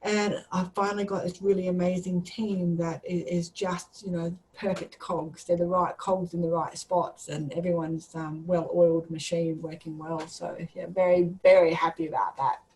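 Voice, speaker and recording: A woman's voice: on the low side, little pitch movement, normal speed, hardly any pauses, darkish tone, poor audio. A woman chatting casually, with a direct, jokey and sometimes sweary way of talking. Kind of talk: casual conversational chat.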